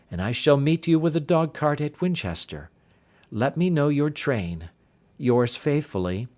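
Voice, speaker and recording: A man voicing a brisk, earnest young woman governess in an audiobook reading, in his own everyday voice. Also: medium pitch, a little mellow, close to an everyday voice.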